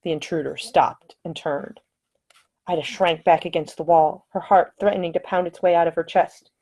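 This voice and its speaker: Low-pitched woman narrating a romance novel. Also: steady tone, normal speed, dark tone, husky. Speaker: author reading own novel. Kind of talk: scripted audiobook narration, romance.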